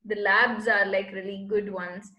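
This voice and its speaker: A woman's voice: mid-range voice, flat delivery, measured pace, sharp tone. A woman, earnestly explaining her path as an interview guest and searching for words as she goes. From Bangalore, India. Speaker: guest, space entrepreneur. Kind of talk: casual interview answers. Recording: echoey room.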